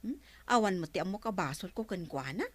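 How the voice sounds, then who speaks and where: A woman's voice: on the low side, animated, fairly quick, no room sound. A woman acting a feisty, talkative village councilwoman with an Ilocano accent, exclaiming and bickering in a broadcast comedy drama. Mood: chatty.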